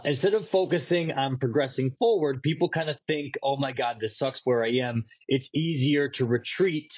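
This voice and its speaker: A man's voice: medium pitch, lo-fi sound. Congested, nasal man on a podcast. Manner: loose, rambling, swears casually. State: congested from a cold. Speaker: podcast co-host. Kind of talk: casual fitness podcast chat.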